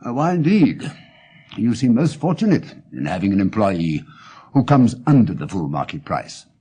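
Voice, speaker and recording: An actor reading as a cool, amused gentleman detective with an RP English accent and a dry, clipped manner. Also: on the low side, very animated, moderate pace, slightly rough, voice pushed down. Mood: urbane, inquisitive. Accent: English, Received Pronunciation.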